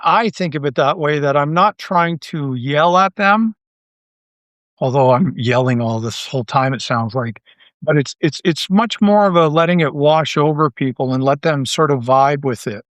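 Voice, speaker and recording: Male Canadian interview guest, lightly high register, earnest thinking-aloud delivery, casual and halting, no room sound. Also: no room sound. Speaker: interview guest, audio drama writer and creator.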